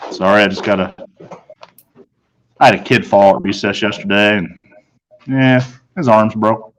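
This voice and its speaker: A man's voice: on the low side, measured pace, long pauses, a little hiss or hum. Man reading a storybook aloud. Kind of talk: read-aloud storybook narration.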